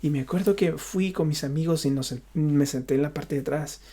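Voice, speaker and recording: Gravelly, halting Honduran man. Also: a little high, mellow. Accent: Honduran Spanish. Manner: halting, searching for words, repeating himself. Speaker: pastor. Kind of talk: personal testimony, unscripted talk to viewers.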